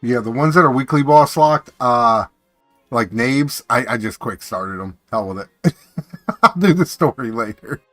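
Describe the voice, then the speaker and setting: Bright, animated male game streamer. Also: mid-range voice, unhurried, no echo, studio quality, soft background music. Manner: playful, joking, animated reactions. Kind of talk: casual livestream commentary.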